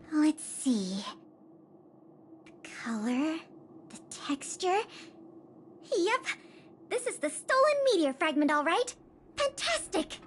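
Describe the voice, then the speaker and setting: A woman's voice: high-pitched, a little hiss or hum, heavily acted. A woman playing an eager, studious young investigator in a video game, speaking in an earnest, articulate way. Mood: eager, delighted. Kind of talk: video game character dialogue. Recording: music behind the voice. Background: game background music.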